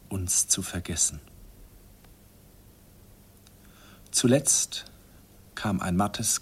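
A man's voice: low-pitched, a little hiss or hum. An actor playing a wistful man who narrates memories of his youthful love in a confiding, self-mocking radio play style. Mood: rueful, confiding. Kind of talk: intimate first-person radio play narration.

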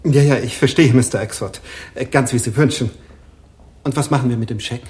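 An actor playing a flustered, deferential bank manager in a radio play, eager to please. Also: male voice, medium pitch, brisk, darkish tone, natural voice. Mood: obliging.

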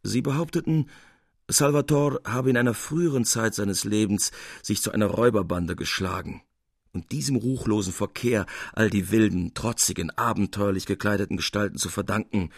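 A man's voice: lowish voice, husky, dry recording, studio quality. A man giving a formal, articulate reading of a classic literary novella as narrator. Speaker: reader, narrator.

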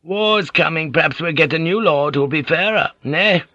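A man's voice: on the high side, moderate pace, pushed and bright, no echo, highs cut off, pitched above the performer's own voice. A man voicing a grim older fisherman who speaks in a hissing, fatalistic way.

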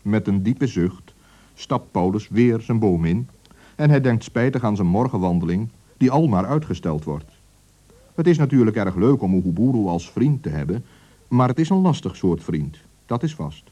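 A man narrating a children's radio story in a lively, expressive way. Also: on the low side, normal speed, muffled recording.

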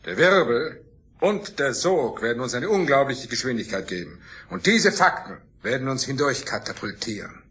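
A man playing an imperious, obsessed scientist and starship commander in a radio play, speaking in a grandiose, deliberate way with a husky voice. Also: medium pitch, big pitch swings, a bit bright. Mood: self-assured. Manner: grandiose, deliberate, self-assured.

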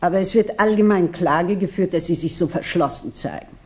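An actress playing a theatrical, aggrieved society Madame in a radio play, speaking in a grand, affected, lamenting manner. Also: female voice, fairly low pitch, a little fast, darkish tone, natural voice. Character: theatrical, aggrieved aristocratic Madame, woman, querulous. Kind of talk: German radio play acting.

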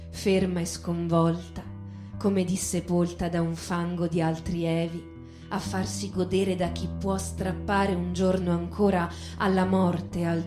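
A woman host giving an expressive literary reading on a radio show, with guitar music. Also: lowish voice, roomy sound. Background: acoustic guitar music.

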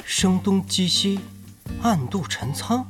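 A man's voice: high voice, expressive, slow. A man playing a sneering, suspicious rival guild leader in a Mandarin audio drama, sarcastic and theatrical. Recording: music behind the dialogue.

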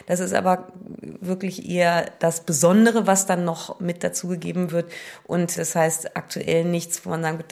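Deep female voice, vocal fry, matter-of-fact spokeswoman, measured interview answers. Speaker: trade association press spokeswoman. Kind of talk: factual interview statement.

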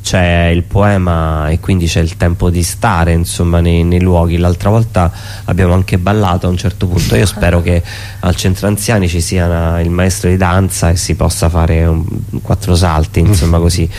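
A man explaining his performance piece informally as a guest, in a halting way with frequent self-corrections. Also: deep voice, level tone, darkish tone. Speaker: performance artist, interview guest. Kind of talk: informal spoken presentation of own show. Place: radio studio.

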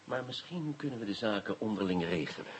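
An actor playing a sly police inspector, mock-polite and insinuating, in a Dutch radio play. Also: male voice, lowish voice, animated, fast talker, slight background noise, lightly acted. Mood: mock-polite, sardonic. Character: sly, sardonic male police inspector, coolly coaxing.

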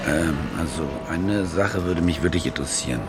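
A cynical, rattled war reporter played by a male actor tells his own story in a low voice. It is radio drama, with loud music running behind him. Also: normal speed, lightly acted. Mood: uneasy, earnest. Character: German war reporter and faker, cynical, rattled narrator-protagonist. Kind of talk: radio drama acting and first-person narration.

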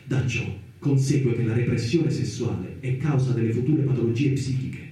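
An actor playing an earnest, principled intellectual in a radio play, arguing his case in a measured, insistent way. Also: medium pitch, mellow, natural voice. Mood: earnest, resolute. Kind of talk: theatrical monologue in radio play. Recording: very echoey, distant, music behind.

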